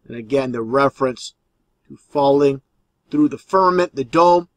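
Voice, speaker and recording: A man's voice: mid-range voice, normal speed, no room sound. A man giving conspiracy commentary over video clips as the host, explaining in an insistent, repetitive way.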